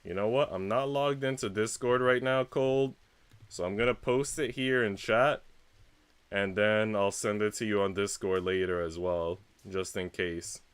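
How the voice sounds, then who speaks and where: A man's voice: lowish voice, steady tone, measured pace, clean tone, dry recording. A man livestreaming, chatting casually with viewers in a rambling, slangy way with casual swearing. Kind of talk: casual chat with stream viewers.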